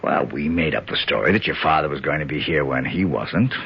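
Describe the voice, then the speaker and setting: An actor playing a tough, wry, protective investigator in a 1970s radio drama. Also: male voice, very deep voice, lively intonation, a little fast, creaky, slight background noise, heavily acted. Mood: easy, reassuring. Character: tough, wry, protective male investigator narrating his adventure. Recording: dull old radio broadcast, music behind.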